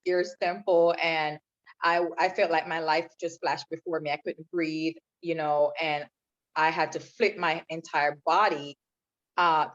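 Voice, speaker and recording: A woman from Jamaica, a podcast guest telling her own story in an earnest, reflective way. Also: sits low. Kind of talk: personal testimony in interview. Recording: dull lo-fi sound.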